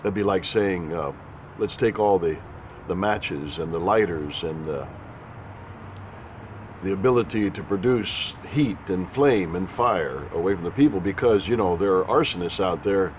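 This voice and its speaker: Male host, very deep, raspy with a chest cold, folksy sarcastic rambler, faint noise behind. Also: expressive, a little slow. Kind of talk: casual spoken commentary.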